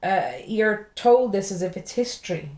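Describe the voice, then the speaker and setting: Brief: Irish woman comic on a podcast, mid-pitched, swears and rambles, in an echoey room. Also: normal speed, a little mellow. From Ireland. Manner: swears freely, rambling and jokey. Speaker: stand-up comedian, podcast guest. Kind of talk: casual podcast chat.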